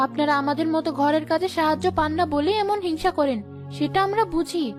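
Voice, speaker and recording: A woman's voice: sits high, fast, soft background music, close to an everyday voice. A woman voicing a protective, anxious village mother in a cartoon, firm and fretful. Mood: indignant.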